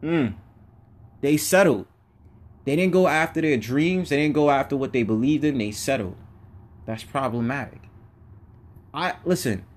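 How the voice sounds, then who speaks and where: A man hosting his own podcast, giving a casual, preachy motivational monologue in everyday slang. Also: mid-range voice. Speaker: podcast host.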